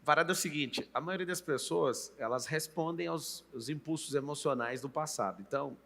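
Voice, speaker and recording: A Brazilian host in his late thirties who coaches with emphasis and often speaks straight to the audience. His voice is slightly high and strongly bright, with a forceful edge. A long reverb trails behind it in the room. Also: fairly quick, gravelly. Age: late 30s. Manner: emphatic, coaching, addresses the viewer directly. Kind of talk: motivational interview talk.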